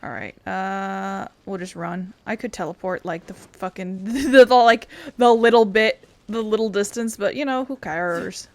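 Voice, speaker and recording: A woman's voice: medium pitch, unhurried, few pauses. Woman casually streaming a video game. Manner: casual, sweary asides. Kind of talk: casual gameplay commentary with dialogue read aloud.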